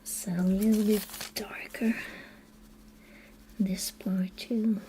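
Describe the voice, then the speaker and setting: A woman with a Dutch accent chatting casually as she colors for a live stream, soft-spoken and unhurried, now and then dropping to a quiet half-whisper. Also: mid-range voice, flat delivery, slight background noise. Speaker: coloring channel host.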